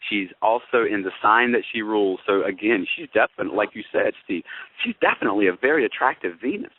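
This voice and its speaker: A man's voice: on the low side, lively intonation, quick pace. A man co-hosting an astrology talk, explaining things in a folksy, conversational way and often checking in with listeners. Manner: explanatory, folksy, addresses listeners with tag questions. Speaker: co-host, astrologer. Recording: tinny, phone-like radio-show audio.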